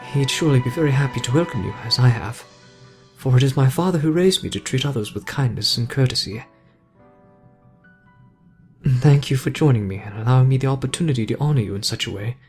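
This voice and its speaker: A man performs a well-bred young British gentleman in an ASMR roleplay. He is earnest and kindly, with formal, polite phrasing addressed straight to the listener. Music plays behind the voice. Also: mid-range voice, slightly rough.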